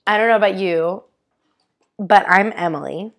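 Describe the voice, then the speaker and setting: Hoarse young woman with vocal fry. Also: normal speed. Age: young adult. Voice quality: raspy, low vocal fry. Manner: giggly, says "like" often. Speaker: podcast co-host. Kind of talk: casual chat.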